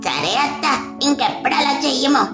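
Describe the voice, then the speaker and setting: A woman voicing an excitable, playful little child bird with a childlike, pleading manner in a cartoon story. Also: high voice, fairly quick, reverberant, music in the background. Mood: earnest. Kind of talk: children's animated story dialogue.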